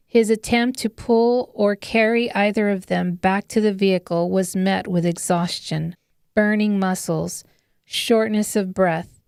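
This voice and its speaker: Female narrator for dramatic fiction audiobook. Measured pace, resonant tone, very dry sound. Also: medium pitch, a little mellow, clean tone, no echo. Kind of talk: scripted dramatic story narration.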